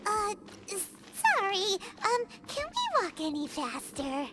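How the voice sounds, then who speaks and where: A tiny fairy sidekick from a video game, with a very high, squeaky child's voice. The manner is chirpy and nervously flattering, and loud noise sits behind the words. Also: female voice, slow pace, heavily acted. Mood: nervous, faltering.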